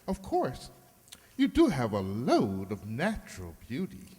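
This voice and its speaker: An actor playing an effusive, flattering beauty-salon stylist in a flowery, affected manner, in a comic radio play. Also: male voice, medium pitch, unhurried, breathy edge, exaggerated voice. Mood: theatrical, flattering. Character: gushing, theatrical male hairdresser, flattering and two-faced. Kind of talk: comic old-time radio sitcom acting. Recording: echoey hall with long reverb.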